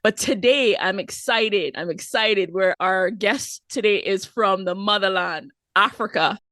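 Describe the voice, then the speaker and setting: A woman hosting a podcast, upbeat and playful, talking quickly in a casual interview. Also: medium pitch, a bit bright, dry recording, clean studio sound. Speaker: podcast host.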